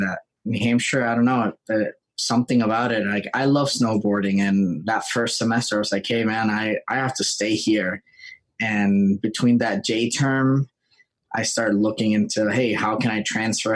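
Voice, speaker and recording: A man's voice: on the low side, fairly even intonation, moderate pace, poor audio. A young man from Rico, telling his story informally as a podcast guest. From Puerto Rico. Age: young adult. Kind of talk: casual chat, telling personal story.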